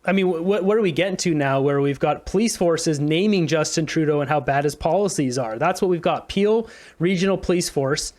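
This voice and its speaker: High-pitched man doing casual political commentary. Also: fairly quick, darkish tone. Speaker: host of a political commentary show. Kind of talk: casual news commentary, reading articles aloud.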